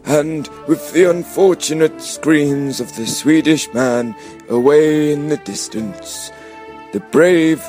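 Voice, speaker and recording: A man narrates a comic radio play. His delivery is slow and mock-dramatic, like scripted storytelling played for laughs. Music plays loudly beneath his voice. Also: fairly high pitch. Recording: music bed behind the voice.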